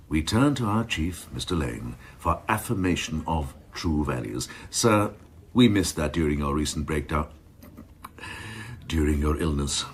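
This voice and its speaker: An actor playing a cynical, domineering assistant chief constable, mocking and ornate in a crime radio drama. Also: male voice, extremely low pitch, slight background noise, exaggerated voice. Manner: mocking, ornate, theatrical phrasing.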